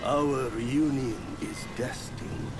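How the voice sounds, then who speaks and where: An actor playing a boastful, eccentric poet who mentors a hero in a game cutscene, speaking in a grand theatrical way. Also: male voice, mid-range voice, extremely slow, music in the background. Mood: grandiose. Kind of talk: video game cutscene dialogue.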